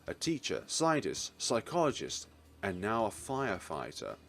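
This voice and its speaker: A man's voice: on the low side, huge pitch swings, moderate pace, gravelly, fairly bright tone, faint music behind. A man reading a visual novel's story aloud as a let's player. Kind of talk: reading narration and character lines aloud.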